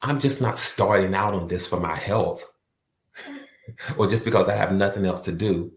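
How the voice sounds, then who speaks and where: A man's voice: low voice, normal speed, roomy sound. A man giving a motivational talk with a repetitive, preacher-like cadence. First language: not French. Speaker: motivational speaker.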